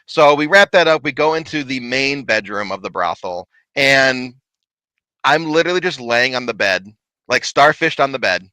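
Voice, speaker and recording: Animated man telling a ghost story. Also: medium pitch, normal speed, smooth, no room sound, low-quality recording. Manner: animated, laughing, big voice. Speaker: paranormal investigator guest. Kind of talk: casual storytelling chat.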